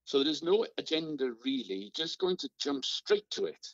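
A man's voice: fairly high pitch, sing-song, normal speed, no room sound, lo-fi sound. An actor, a man playing a polite, hesitant community organiser in a radio play. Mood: anxious, chivvying. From Swansea, Wales (the character).

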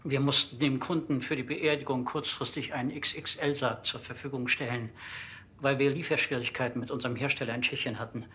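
An actor playing a formal, defensive undertaker, polite and businesslike, in a TV crime comedy. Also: male voice, medium pitch, fairly even intonation, a little fast, bright, forceful tone, slight background noise, lightly acted.

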